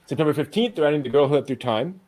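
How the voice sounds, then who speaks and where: A man hosts a casual news chat in a lively, very animated voice. His pitch moves widely from phrase to phrase while he presents items in an informal, conversational way. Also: racing, a little hiss or hum. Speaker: host. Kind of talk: casual anime news discussion.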